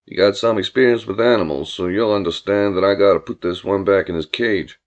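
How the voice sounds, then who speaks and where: A man's voice: lowish voice, fairly even intonation, a little fast, pitched below the performer's own voice, close to an everyday voice. A man voicing a swaggering, menacing gang boss in an audiobook, with a domineering, mock-courteous Southern drawl. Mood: mock-apologetic. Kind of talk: audiobook character voice.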